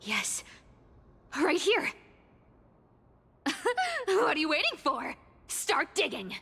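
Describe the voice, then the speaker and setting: A woman's voice: sits high, sing-song, takes it slowly, pushed and bright, in a large hall, full character voice. An actress playing a playful, greedy female thief boss in a video game, with a teasing, theatrical delivery. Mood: gleeful greed. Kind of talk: video game character acting.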